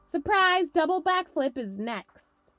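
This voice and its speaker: A woman voicing an eager, slightly nervous little boy in a storybook, earnest and expressive. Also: very high voice, slow pace, soft background music, voice pushed up, exaggerated voice. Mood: excited.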